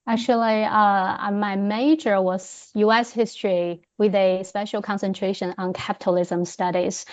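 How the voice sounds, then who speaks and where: A woman with a Chinese accent speaks as an interview guest. Her voice sits at a medium pitch, and she answers conversationally, hesitating as she gathers her thoughts. The recording is somewhat muffled. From China. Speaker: interview guest, history professor.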